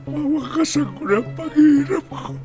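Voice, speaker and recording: A man's voice: very high-pitched, moderate pace, gravelly, music in the background. A man playing a weary, despairing older Filipino grandfather in a radio drama, pleading melodramatically. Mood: anguished, despairing. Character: weary, despairing grandfather begging to end his suffering.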